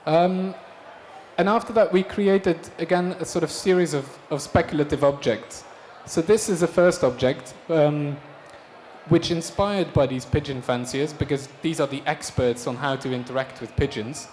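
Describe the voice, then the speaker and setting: A man from Belgium giving a conference talk about his design projects, relaxed and hesitant, with frequent ums. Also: a little high. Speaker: speaker presenting design projects. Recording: reverberant hall with faint noise.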